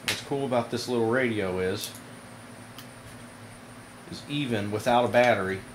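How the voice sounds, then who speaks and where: A man giving a casual hands-on product demonstration to viewers, informal and halting, with frequent pauses. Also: medium pitch. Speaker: product reviewer, video host. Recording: noisy amateur camera audio.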